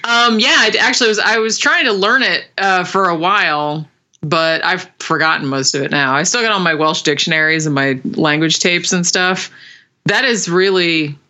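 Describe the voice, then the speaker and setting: A woman's voice: fairly low pitch, moderate pace, pushed and bright. A woman co-hosting a podcast in casual chat, rambling along with lots of fillers and little giggles. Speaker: podcast co-host.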